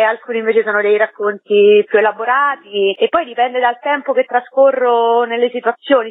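A woman's voice: mid-range voice, no echo. A woman being interviewed as an author by telephone, talking in a chatty, expansive and descriptive way. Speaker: author interviewed about their book of short stories. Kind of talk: casual phone interview. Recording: tinny telephone line on radio.

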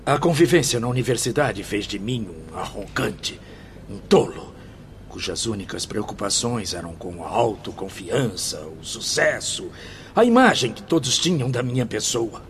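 A man's voice: lowish voice, sing-song, moderate pace, slight background noise. An actor playing a tormented, remorseful man crazed by jealous passion in a theatrical radio drama. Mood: bitter remorse. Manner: theatrical, anguished, swinging from confession to frenzy. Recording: loud dramatic music behind the voice.

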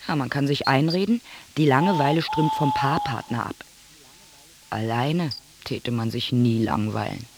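A man's voice: medium pitch, normal speed, very noisy, natural voice. An actor playing a bored man philosophising about tedium in a radio drama monologue. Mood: bored musing.

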